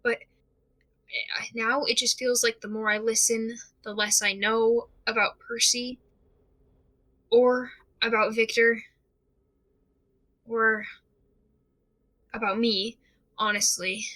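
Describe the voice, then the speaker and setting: An actress playing a frightened, isolated young investigator in an audio drama monologue, speaking haltingly and uneasily with restarts. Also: female voice, on the high side, level tone, bright, forceful tone. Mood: sad, lost. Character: frightened isolated young investigator unravelling over mysterious tapes.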